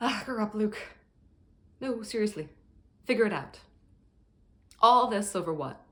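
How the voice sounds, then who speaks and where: An actress plays an exasperated woman dressing down a teenage boy. Her delivery is blunt, sarcastic and cutting at a middle pitch, in the manner of a stage drama monologue. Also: animated, measured pace, a bit bright. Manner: sarcastic, cutting, foul-mouthed.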